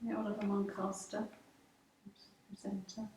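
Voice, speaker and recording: A middle-aged woman with an average-pitched voice chats casually, reminiscing as a local would. She is recorded in a very echoey room, away from the mic, with faint noise. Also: completely flat delivery, moderate pace, a little mellow, recorded from a distance. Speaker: local interviewee and pantomime participant.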